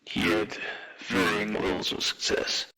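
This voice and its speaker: A man playing a calm, serious veteran operative in an audio drama, explaining things in a measured way. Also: faint music behind. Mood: calm, grave. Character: calm, grave veteran male metahuman operative.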